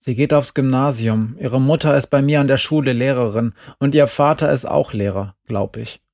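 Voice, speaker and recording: A man voicing a calm, reassuring young teenage girl in an audiobook. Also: mid-range voice, moderate pace, no echo, studio quality, lightly acted. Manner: soothing, steady.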